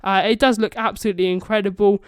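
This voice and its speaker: A man's voice: sits high, level tone, quick pace, clear, resonant tone, no echo, clean studio sound. A young man giving casual, enthusiastic, rambling commentary as the host of a video channel. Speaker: YouTube vlogger, channel host.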